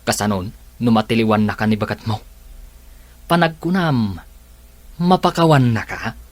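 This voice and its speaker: A man's voice: fairly low pitch, moderate pace, no echo, close to an everyday voice. A man with an Ilocano accent acting a frank, cautioning friend in a radio drama.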